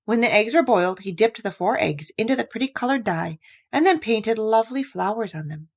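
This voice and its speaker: A woman's voice: on the high side, lively intonation, fairly quick, breathy edge. Woman reading a children's storybook. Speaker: storybook reader. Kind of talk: scripted children's story narration.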